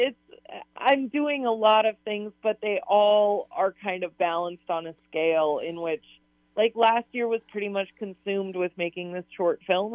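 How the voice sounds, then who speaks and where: A slightly hoarse woman talking eagerly over a tinny, phone-like line. She laughs often and strings long, rambling sentences together. The sound is dry and close, with no room around it. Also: on the low side, dry recording. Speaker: science advisor and author, interview guest. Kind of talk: casual radio interview answers.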